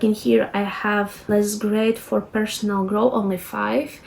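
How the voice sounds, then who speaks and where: Latvian woman vlogger, thirties, middle pitch, gentle and unhurried delivery. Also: gravelly, rough recording. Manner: soft-spoken, unhurried, many fillers and halting restarts. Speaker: lifestyle vlogger. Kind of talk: reflective planning walkthrough.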